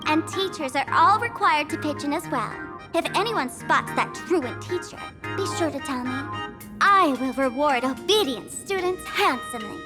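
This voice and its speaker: A woman's voice: high voice, lively intonation, normal speed. A woman voicing a playful, scheming girl streamer in a video game, teasing and theatrical, with menace under the sweetness. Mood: playful, bossy. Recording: music behind the voice. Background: game music.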